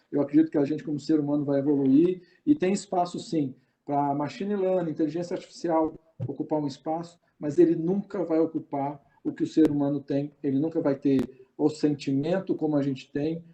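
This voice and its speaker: Earnest male guest, very mellow dark tone, thoughtful and musing, muffled lo-fi audio. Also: fairly high pitch. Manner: earnest, musing, fillers and tag questions. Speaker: webinar guest. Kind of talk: webinar discussion. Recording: dull lo-fi sound.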